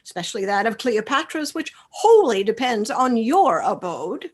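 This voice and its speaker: A woman acting a measured attendant in a Shakespearean radio play, speaking deliberately and expressively. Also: a little high, big pitch swings, slightly rough. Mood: wry, reasoning. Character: measured soothsayers, messengers and loyal male soldier.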